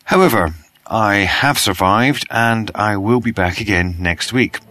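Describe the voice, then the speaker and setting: British man, croaky from a cold, news reader, lowish pitch, bright forceful tone, dry close sound. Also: dry recording. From Britain. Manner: reads steadily, spells out web addresses slowly. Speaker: news podcast host. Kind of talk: read news bulletin.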